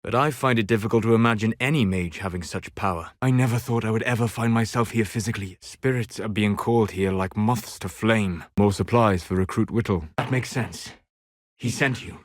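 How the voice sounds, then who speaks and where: An actor playing a solemn, learned elven mage in a video game, speaking in measured, formal, eloquent phrases with a grave, regretful manner. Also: male voice, on the low side, no room sound, studio quality, lightly acted. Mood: grave, reflective. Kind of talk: video game character dialogue.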